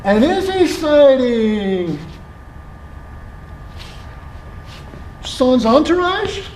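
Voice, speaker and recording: A stage performer playing a flirtatious, regretful former lover with a gushing, sometimes halting delivery. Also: high-pitched, big pitch swings, darkish tone, full character voice. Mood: flirtatious, delighted. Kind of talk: live stage play acting. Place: theatre stage, recorded from the audience. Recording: echoey theatre, faint noise.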